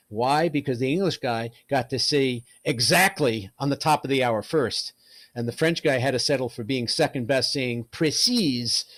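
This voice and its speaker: A man's voice: medium pitch, dry recording. An older man from New York City giving a casual talk in a chatty, colloquial storytelling manner. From New York City, United States. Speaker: presenter, radio hobbyist.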